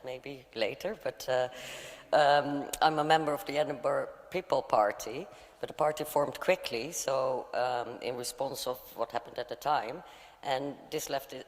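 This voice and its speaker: A Dutch woman standing as a candidate, giving a nervous, halting speech at a hustings. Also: rumbling low, fairly even intonation. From Netherlands. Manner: halting, nervous, many fillers. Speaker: election candidate. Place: large church hall. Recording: long church hall reverb.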